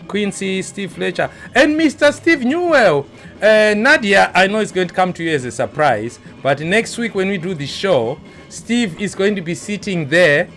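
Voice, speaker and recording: A man's voice: high voice, lively intonation, moderate pace, fairly bright tone, soft background music. A man hosting a live online show, chatty and teasing as he greets viewers by name. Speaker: show host.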